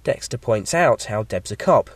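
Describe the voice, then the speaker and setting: A man with a slight cold and a croaky voice, hosting a podcast and reading a measured, scripted episode review. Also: on the low side, animated, no echo. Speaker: podcast host.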